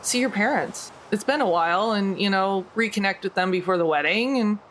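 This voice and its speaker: A woman's voice: medium pitch, brisk, fairly bright tone, a little hiss or hum, rough recording. A woman, a tabletop game player improvising in-character dialogue in a casual, hesitant everyday voice.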